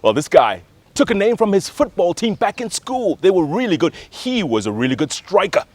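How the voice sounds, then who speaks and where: Kenyan male TV host, animated and emphatic, slightly high voice, dry sound. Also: normal speed, no room sound. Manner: showy, emphatic presenter. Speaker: TV talk show host. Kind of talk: broadcast interview hosting.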